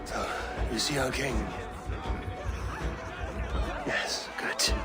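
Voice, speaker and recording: An actor playing a proud, commanding Viking chieftain who calls out loudly and coaxes his child. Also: medium pitch, wildly swooping pitch, measured pace. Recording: loud music and crowd behind.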